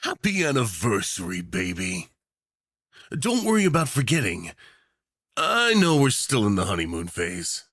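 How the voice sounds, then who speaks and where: A man performs a smug yandere tyrant in an audio roleplay, all menacing affection. His voice is husky and close in a dry space, swooping theatrically as he lingers over mock-sweet endearments. He takes his time, savoring every threat. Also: mid-range voice, wildly swooping pitch, unhurried, a bit bright, no echo, exaggerated voice. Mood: possessive affection. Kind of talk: ASMR roleplay acting.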